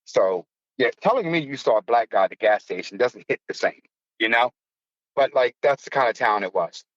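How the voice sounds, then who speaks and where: A man's voice: mid-range voice, animated, normal speed, no room sound, highs cut off. A man in his fifties from the United States, a podcast host telling a personal story in a blunt, profane, self-mocking way. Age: 50s. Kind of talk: casual storytelling.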